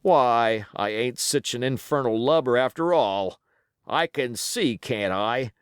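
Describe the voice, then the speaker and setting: A man's voice: medium pitch, takes it slowly, clean tone, dry recording, studio quality, lightly acted. A man voicing a sly, drawling wounded pirate sailor. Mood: eager, conceding. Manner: drawled, folksy sailor speech with rustic grammar.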